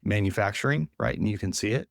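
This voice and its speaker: A man's voice: lowish voice, expressive, fast talker, vocal fry, dry recording, clean studio sound. A man hosting a podcast, upbeat and friendly, often restarting his sentences as he introduces a guest. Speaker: podcast host. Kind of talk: casual interview chat.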